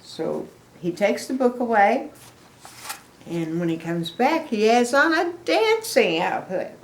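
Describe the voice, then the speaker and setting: Older woman reading animatedly, slow-paced. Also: a little high, sing-song, slight background noise. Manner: simple, explanatory phrases addressed to young listeners. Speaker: storyteller reading to children. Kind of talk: animated picture book read-aloud with asides. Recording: echoey room.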